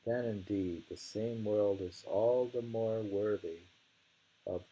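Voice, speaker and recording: A man with a gravelly, husky voice reads translated poems aloud and muses over them informally, deliberate with the verse and tentative in his asides. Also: on the low side, fairly even intonation, warm tone, poor audio. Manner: deliberate delivery when reading, musing and tentative in asides. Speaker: reader of poetry.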